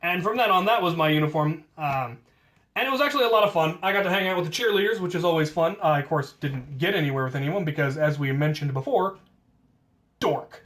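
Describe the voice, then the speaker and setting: An American man casually telling stories from his own life, with playful self-deprecation and drawn-out emphasis on key words. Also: on the high side, fairly bright tone. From United States. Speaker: storyteller, host of a hobby channel. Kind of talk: casual autobiographical storytelling.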